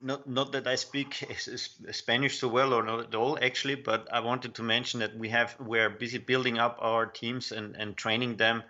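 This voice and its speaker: A man's voice: medium pitch, flat delivery, normal speed, hardly any pauses, pushed and bright. A man from Austria whose native language is German, speaking earnestly on a livestream with slight stumbles. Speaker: team member on a livestream. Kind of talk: casual livestream talk. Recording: dull lo-fi audio.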